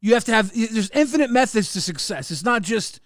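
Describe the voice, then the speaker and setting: A man hosting a casual livestream podcast, rambling and halting, swearing and reading out chat messages. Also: high voice, expressive, no room sound, studio quality.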